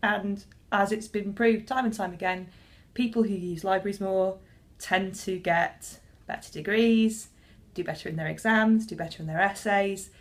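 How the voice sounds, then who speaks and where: A woman's voice: mid-range voice, fairly even intonation, moderate pace. A young woman, a librarian talking casually to camera in a chatty, self-deprecating way with frequent restarts.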